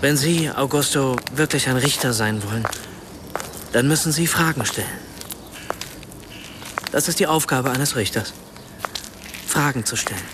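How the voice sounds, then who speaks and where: An actor playing an earnest, self-doubting writer in a radio play, hesitant and searching for words. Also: male voice, medium pitch, unhurried, long pauses, background noise, lightly acted. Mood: earnest, urging. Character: earnest, self-doubting German male writer, apologetic and probing. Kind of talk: naturalistic radio play dialogue.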